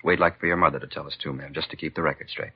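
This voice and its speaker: An actor playing a courteous, dogged police detective partner in a 1950s radio crime drama, businesslike and persistent. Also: male voice, a bass voice, steady tone, very fast, slightly rough. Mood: polite, persistent. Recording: dull, noisy lo-fi 1950s radio recording.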